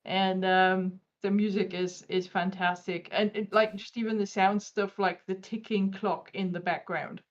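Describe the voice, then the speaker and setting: A woman's voice: mid-range voice, fairly even intonation, a little slow, few pauses. A woman guest voice actor chatting casually on a livestream over an online voice call. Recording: dull lo-fi voice call.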